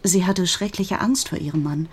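A woman playing a grieving, protective older sister who gives an earnest, confiding statement in a German radio crime drama, with a husky voice. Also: lowish voice, normal speed. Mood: earnest, recounting. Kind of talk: German radio play acting, recounting testimony.

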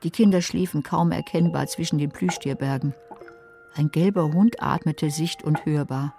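An actress playing a scheming, bitter, watchful older woman who narrates a crime radio play in a measured, controlled, literary way. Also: sits low, creaky, mellow, faint music behind. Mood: guarded, wry.

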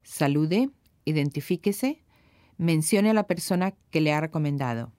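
Mellow woman narrating a language exercise. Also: deep voice, moderate pace, dry recording, studio quality. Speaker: narrator of a Spanish language course. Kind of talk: scripted instructional narration.

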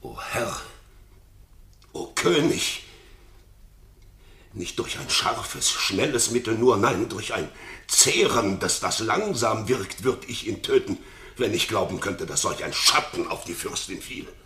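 A loyal, upright courtier in a classical radio play. The voice is low yet incisive, urgent and earnest, shaping formal verse theatrically. It sounds in a clearly reverberant room. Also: male voice, lively intonation, slow, sharp tone, heavily acted. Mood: torn, earnest. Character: loyal, principled courtier pleading with his king. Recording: roomy studio reverb.

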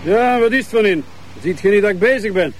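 Irritable Flemish crime-scene expert, male, slightly high voice, gruffly mocking, television police drama. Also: expressive, fast, soft background music. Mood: irritated. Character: grumpy, sarcastic male forensic investigator.

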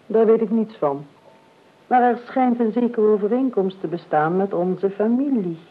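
An actress playing a prim, formal older aunt in a radio play, dry and sharp-tongued. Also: female voice, medium pitch, lively intonation, moderate pace, close to an everyday voice. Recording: tinny, band-limited old radio recording.

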